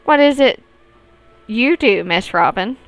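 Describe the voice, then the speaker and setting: A woman's voice: a little high, animated, slow, no echo, faint music behind. A woman voicing a genteel, chatty lady passenger in a visual novel, with prim and courteous phrasing. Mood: curious.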